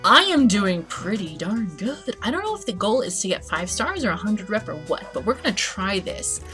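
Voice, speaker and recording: A woman's voice: mid-range voice, big pitch swings, normal speed, fairly bright tone. A woman gives casual let's play commentary, playful and giggly, reading game text aloud with expression. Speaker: host of a gaming channel. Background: background game music.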